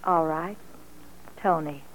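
An actress playing a polite, shy young waitress in a radio play. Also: female voice, low voice, takes it slowly. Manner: polite and demure. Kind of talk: 1940s radio acting. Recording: muffled old radio recording, clear music.

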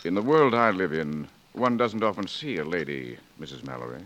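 A man playing a courtly, melancholy gentleman gambler of the Old West, formal and gallant, in 1940s radio acting. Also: low-pitched, expressive, fairly quick. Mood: gallant, wistful.

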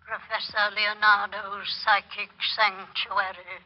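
An actress plays a courteous, businesslike female receptionist. Her mid-pitched, formal voice comes through a muffled old radio recording. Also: flat delivery, slow, a little hiss or hum, close to an everyday voice. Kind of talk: 1950s radio drama acting.

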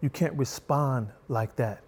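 A middle-aged man, a guest on an interview show, speaking in a soft-spoken, gentle way with a slow, even cadence. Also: mid-range voice, slightly rough.

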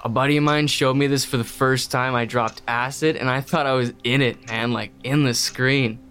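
A man's voice: medium pitch, steady tone, normal speed, fairly bright tone, lightly acted. A man playing an enthusiastic stoner roommate in a horror audio drama, talking in slangy, laid-back rambles about creepy internet lore. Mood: amused, stoned.

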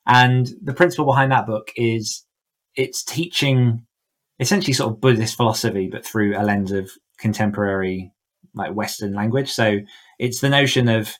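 A man's voice: lowish voice, moderate pace. A man speaking casually as an interview guest, talking in an enthusiastic, effusive way. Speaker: podcast guest, horror author and coach.

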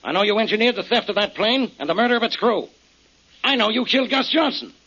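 An actor playing a gruff, hard-nosed police investigator in an old radio crime drama, blunt and combative. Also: male voice, high-pitched, expressive, fast, bright, forceful tone, exaggerated voice. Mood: accusing. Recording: muffled old-time radio recording.

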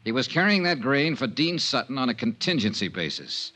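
An actor playing a tough, wry insurance investigator in a 1950s American radio drama, clipped and matter-of-fact. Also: male voice, medium pitch, big pitch swings, brisk, sharp tone. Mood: assured, reasoning. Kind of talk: 1950s radio detective acting. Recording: vintage 1950s radio broadcast.

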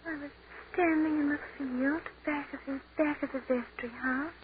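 A woman's voice: sits high, steady tone, normal speed, lightly acted. An actress playing a scared young woman who has just died, speaking in a trembling, pleading way. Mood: frightened. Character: frightened young village woman, newly dead. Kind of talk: 1940s radio drama acting. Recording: tinny old radio broadcast with hiss.

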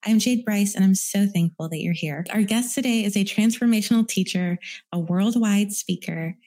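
A woman's voice: mid-range voice, normal speed, dark tone. A woman hosting a podcast, reading an earnest introduction and then interviewing her guest. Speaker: podcast host.

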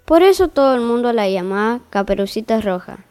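A woman's voice: a little high, animated, brisk, darkish tone, clear, resonant tone, lightly acted. A woman playing a mild, easily flustered fairy-tale narrator in a comic audio story, hesitant and accommodating. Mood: storytelling.

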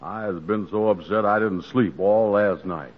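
Man playing a deep-voiced worried sad sack. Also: normal speed, close to an everyday voice. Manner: folksy ungrammatical speech. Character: worried, slow-witted, grumbling male comic sad sack. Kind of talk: 1940s radio comedy acting. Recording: muffled old radio recording.

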